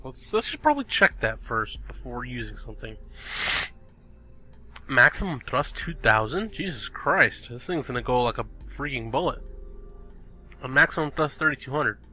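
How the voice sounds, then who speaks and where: A young man talks through a game in a loose, chatty way, thinking aloud and blurting out exclamations. He sounds a little sniffly, and his voice is bright and forceful. Faint music plays behind him. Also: mid-range voice, animated, normal speed. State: sniffly, recovering from illness. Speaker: gaming video host. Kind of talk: casual gameplay commentary. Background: background music.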